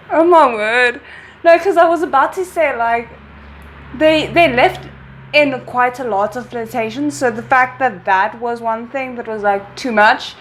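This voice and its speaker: A woman's voice: a little high, slow, long pauses, a little hiss or hum. A woman host giving casual, amused reaction commentary in loose, hesitant phrases. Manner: amused, hesitant phrasing, short listening murmurs.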